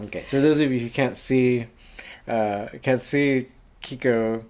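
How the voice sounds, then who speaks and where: A woman podcast host with an unusually deep, low voice. She asks loose, rambling questions full of fillers. She keeps up steady murmurs of agreement while her guest talks. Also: unhurried. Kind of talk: casual interview chat.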